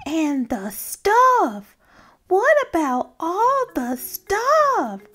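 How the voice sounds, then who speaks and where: A woman's voice: extremely high pitch, big pitch swings, very slow, a bit bright, full character voice. A woman voicing an anxious, dramatic, childish cartoon pigeon in a whiny, exclamatory way for a storybook read-aloud. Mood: panicked, fretful. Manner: exclamatory, fretful, theatrical.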